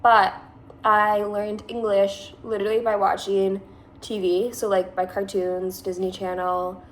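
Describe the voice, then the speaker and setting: A woman's voice: mid-range voice, flat delivery, a little slow, a little hiss or hum, rough recording. A woman in her twenties with a Californian accent, chatting casually through a makeup tutorial, rambling and saying "like" often. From Massachusetts, USA. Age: 20s. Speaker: beauty vlogger.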